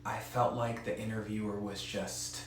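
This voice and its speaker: A man's voice: lowish voice, flat delivery, moderate pace, heavy reverb, a little hiss or hum. A man who stutters gives an earnest, direct instructional talk as a presenter for an advocacy group.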